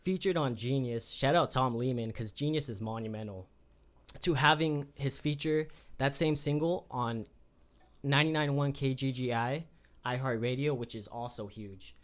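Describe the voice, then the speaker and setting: A man's voice: mid-range voice, moderate pace. A man co-hosting a podcast, introducing in a conversational presenter style. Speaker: podcast co-host.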